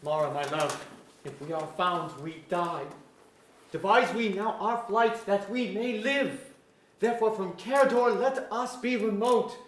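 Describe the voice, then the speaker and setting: A man plays a devoted but troubled king with a high voice for a man. He speaks formal, old-fashioned verse in a stage reading, and the auditorium rings around him. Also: a little slow. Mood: urgent, afraid. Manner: formal archaic verse delivery. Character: anxious, devoted king, brooding and fearful. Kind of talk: staged reading of mock-Shakespearean verse drama. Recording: echoey auditorium.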